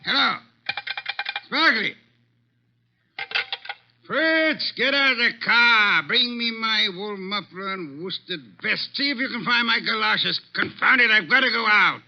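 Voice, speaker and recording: An actor playing a pompous, irritable genius detective in an old radio drama, with ornate, exclaiming speech. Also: male voice, sits high, slow, pauses often, a little hiss or hum. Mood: agitated, grumbling. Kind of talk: 1940s-50s radio drama acting. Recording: dull vintage radio recording.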